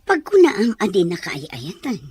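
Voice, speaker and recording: A woman playing an inquisitive, advising mother with a husky voice and a Filipino accent in an audio drama. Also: mid-range voice, wildly swooping pitch, unhurried, a little mellow. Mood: probing. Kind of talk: Ilocano audio drama acting.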